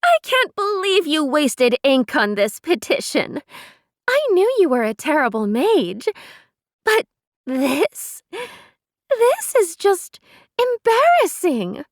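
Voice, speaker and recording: A woman's voice: high voice, big pitch swings, slow pace, dry recording, studio quality, voice pushed up, full character voice. A woman voicing a haughty, cruel young noble girl who shrieks and mocks. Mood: mocking. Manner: shrieking, mocking, contemptuous.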